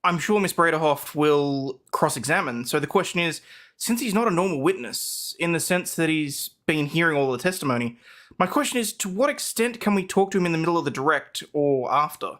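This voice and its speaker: A man's voice: a little high, lively intonation, fairly quick, a bit bright. A man reading court transcript lines aloud in an informal, self-deprecating conversational way. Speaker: guest co-reader.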